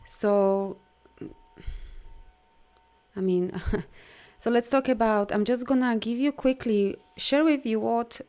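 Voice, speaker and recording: A woman's voice: on the high side, dark tone, smooth. A middle-aged woman with a Polish accent, talking earnestly as a life coach in a live video. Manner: earnest, heartfelt, halting non-native phrasing.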